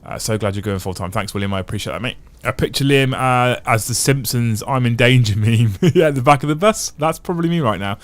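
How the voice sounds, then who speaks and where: A man's voice: medium pitch, a little fast, few pauses, no room sound. A man hosting a casual livestream, chatting and reading out viewer comments, thinking aloud in long runs. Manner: chatty, thinks aloud with restarts and repeated phrases. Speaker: livestream host.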